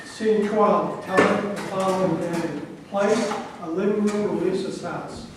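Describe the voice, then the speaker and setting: A man's voice: sits high, fairly even intonation, measured pace. A man reading lines and stage directions at a staged play reading. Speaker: reader of parts and stage directions. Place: echoey room at a live staged reading. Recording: echoey room, far mic, faint noise.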